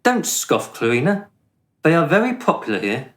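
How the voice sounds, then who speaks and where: A man playing a smooth, condescending afterlife official in a radio play, officious and deliberate in manner. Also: fairly high pitch, big pitch swings, a bit bright. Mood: smugly amused. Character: smooth, condescending male afterlife bureaucrat judging the dead.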